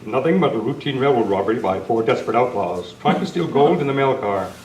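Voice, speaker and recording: A man's voice: medium pitch, lively intonation, fast talker, darkish tone, lightly acted. A man playing a courteous, confident cowboy lawman hero in an amateur old-time radio script reading, formal and earnest. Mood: earnest, inquiring. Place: club meeting room. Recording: roomy, distant camera mic, faint noise.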